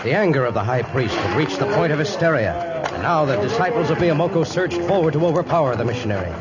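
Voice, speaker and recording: A man's voice: fairly high pitch, lively intonation, fast talker, lightly acted. A man narrating a 1950s radio adventure drama in a dramatic scripted style. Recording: muffled old radio recording, music behind.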